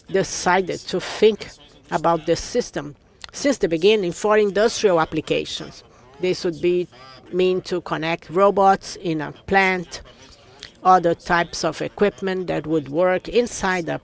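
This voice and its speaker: Guy interpreting a conference talk live, pretty high voice, kinda gravelly, steady delivery.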